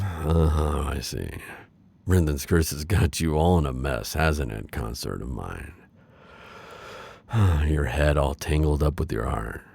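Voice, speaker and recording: Dark warlord, male actor, ASMR villain romance. Deep, dry close recording, theatrical, commanding yet easily flustered. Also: gravelly, husky, no room sound, heavily acted. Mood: commanding, tender. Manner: halting, theatrical, swinging between commanding and flustered. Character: brooding male dark warlord, haughty, flustered, secretly smitten. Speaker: audio roleplay voice actor.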